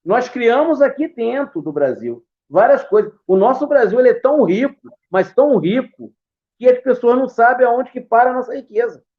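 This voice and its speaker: A man's voice: very high-pitched, animated, normal speed. A Brazilian man, a capoeira master, speaking earnestly and proudly on a live video call and circling back to repeat his points as he tells stories. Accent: Brazilian Portuguese. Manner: earnest, proud, storytelling with repetitions. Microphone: video call from home. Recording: dull lo-fi video call audio.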